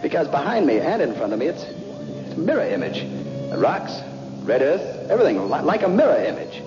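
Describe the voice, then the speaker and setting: A frightened cosmonaut on the edge of madness in a vintage radio horror play. His rather high voice swings wildly as he halts and stammers. The old recording is dull, with music underneath. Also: sing-song, gravelly. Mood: bewildered, frightened. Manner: halting, stammering, repeating himself. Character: lone male cosmonaut losing his mind in terror. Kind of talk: 1960s radio horror acting.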